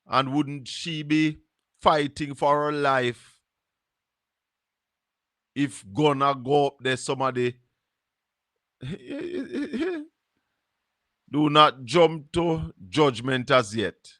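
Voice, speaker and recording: A Jamaican man with a Jamaican accent hosts a live stream in an unhurried, musing way, dropping into patois. His voice is bright and forward, and the sound is close and dry with no room around it. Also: fairly high pitch, expressive, no room sound. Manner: slow, musing, drops into patois. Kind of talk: casual live-stream commentary.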